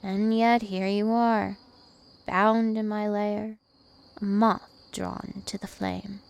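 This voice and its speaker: A woman's voice: medium pitch, flat delivery, takes it slowly, vocal fry, a little mellow, smooth. A woman voicing a dominant, taunting, ancient vampire princess in an ASMR roleplay, with haughty, teasing menace. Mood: smug, possessive. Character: two-thousand-year-old vampire princess, female, dominant, taunting, imperious.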